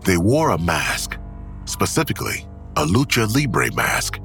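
The narrator of a dark thriller podcast. His voice is low and gravelly with a widely varied melody, reading scripted suspense over music. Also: big pitch swings, measured pace, heavily acted. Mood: suspenseful. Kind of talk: scripted narration, audio drama.

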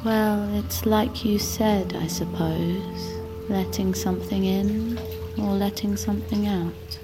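Soothing woman lover: mid-pitched, unhurried, gentle with quiet unease, music behind. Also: fairly even intonation. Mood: soothing, probing. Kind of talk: audio fiction acting.

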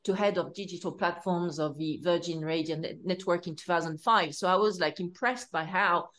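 Female interview host, lower register, halting, filler-heavy delivery. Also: a bit bright. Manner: hesitant, many fillers and restarts. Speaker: podcast host. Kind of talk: casual interview. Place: home in London.

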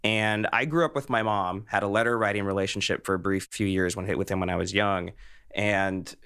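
Clear-voiced, low-pitched man interviewing. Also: steady tone, fairly quick, a bit bright. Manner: earnest, thoughtful, articulate. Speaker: podcast host, interviewer. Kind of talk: reflective, personal interview conversation.

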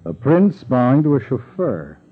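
An actor playing a brisk, authoritative American FBI chief in a 1940s radio drama. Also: male voice, mid-range voice, sing-song. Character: brisk, authoritative male FBI agent in charge. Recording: thin, phone-like 1940s radio recording.

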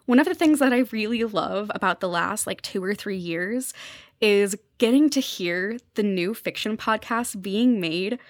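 A woman's voice: mid-range voice, unhurried, no echo. Young woman, enthusiastic, dry close sound. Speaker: podcast guest, journalist covering fiction podcasts. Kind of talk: conversational interview commentary.

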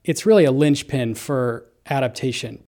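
A man's voice: medium pitch, normal speed, dark tone. A man explaining local flood risk in a descriptive way, thinking aloud as he talks.

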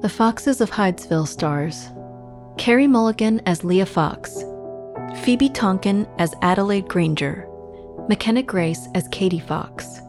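A woman's voice: mid-range voice, expressive, a little slow, darkish tone. A woman announcer giving a scripted read of credits for a podcast. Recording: music bed under voice.

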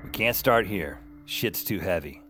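A man's voice: sits low, lively intonation, unhurried, sharp tone, exaggerated voice. An actor playing a wry, rattled young man who narrates his own strange story in a colloquial, self-deprecating way. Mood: wry, reflective. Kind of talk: first-person audio drama narration.

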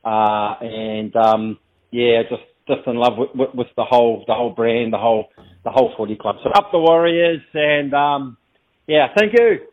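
A man's voice: medium pitch, normal speed. A man leaving a casual, unscripted fan voicemail, hesitant and repeating words. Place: phone voicemail. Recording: tinny phone voicemail line.

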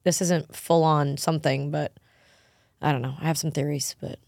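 Chatty low-pitched woman co-host. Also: moderate pace, gravelly, dry recording, clean studio sound. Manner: chatty, animated, lots of laughs. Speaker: podcast co-host. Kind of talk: casual chat.

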